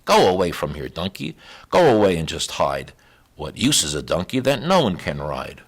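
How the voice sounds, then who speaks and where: A man's voice: sits low, huge pitch swings, normal speed, breathy edge, heavily acted. A man playing a mean, tough donkey owner in a storybook, scolding harshly and with scorn. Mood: angry, scornful.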